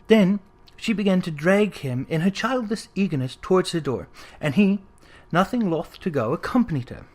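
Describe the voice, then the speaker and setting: A narrator reading literary fiction aloud in an expressive, theatrical storytelling style. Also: medium pitch, moderate pace. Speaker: audiobook narrator.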